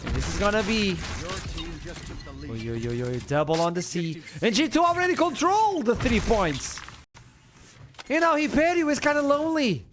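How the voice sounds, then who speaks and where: A man from Portugal doing excitable play-by-play commentary on an esports match. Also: high-pitched, moderate pace, long pauses. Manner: excitable, builds to shouts at big plays. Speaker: esports caster.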